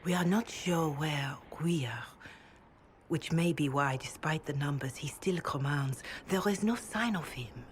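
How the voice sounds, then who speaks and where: Devout female cleric, fantasy game, slow measured delivery, reverent, faint background ambience. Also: low-pitched, slight background noise. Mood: grave, measured. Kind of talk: dramatic video game dialogue.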